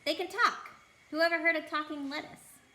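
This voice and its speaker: A woman's voice: sits high, fairly even intonation, normal speed, pushed and bright, roomy sound, a little hiss or hum, voice pushed up. A woman voicing an opinionated, amazed mole in a storybook, emphatic and exclaiming. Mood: amazed, triumphant.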